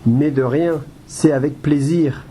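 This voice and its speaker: A man playing a patient, formal and polite association adviser with a soft, slightly husky voice in an amateur audio drama. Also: a little high, fairly even intonation, slow, slight background noise. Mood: helpful, polite. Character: courteous, patient male guide-dog association adviser.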